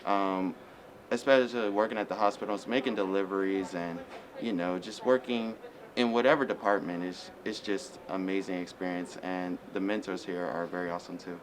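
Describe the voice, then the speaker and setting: Deep-voiced young man, rambling. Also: fairly even intonation, normal speed, low-quality recording. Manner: rambling, many ums and you-knows. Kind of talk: casual testimonial.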